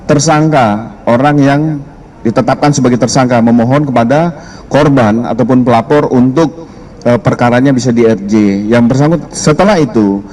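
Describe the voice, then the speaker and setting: A man's voice: medium pitch, darkish tone, poor audio. A man giving a spoken statement to the press as a police spokesman.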